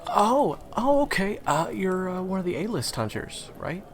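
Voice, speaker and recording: A man's voice: high-pitched, big pitch swings, normal speed. A man acting in an audio roleplay as a friendly, awkward, eager young guide to monster hunters, talking hesitantly and stammering to the listener. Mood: flustered, friendly.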